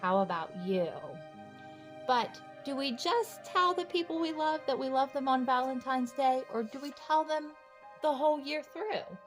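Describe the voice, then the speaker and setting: A woman reading a children's storybook aloud in an encouraging way, pausing to ask young listeners simple questions. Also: sits high, animated, faint music behind. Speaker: children's book read-aloud host.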